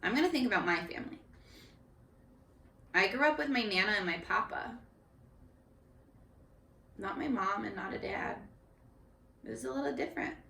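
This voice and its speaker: Female read-aloud teacher for young kids: highish, very animated delivery, expressive and instructive, addressing the children directly. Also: normal speed, vocal fry, long pauses. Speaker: teacher reading a picture book aloud. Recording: echoey room, distant microphone.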